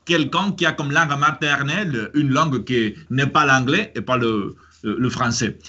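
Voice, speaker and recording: Bright-voiced man with a Spanish accent. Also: mid-range voice, normal speed, slightly rough, highs cut off. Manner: hesitant, many fillers and restarts. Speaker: host. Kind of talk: casual conversational chat.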